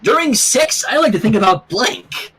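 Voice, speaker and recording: A man's voice: sits high, lively intonation, moderate pace, bright, forceful tone, husky, heavily acted. A man voicing a brash, hot-headed teenage tomboy with a cheeky, indignant manner.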